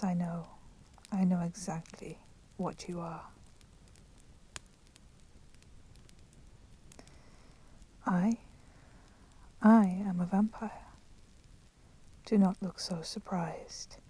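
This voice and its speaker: A woman playing a polite but menacing vampire in a whispered ASMR roleplay, speaking softly and formally. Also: medium pitch, rough recording. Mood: taunting, knowing. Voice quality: breathy, soft, often whispering. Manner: slow, deliberate, formally courteous. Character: polite, menacing female vampire, proud and merciful.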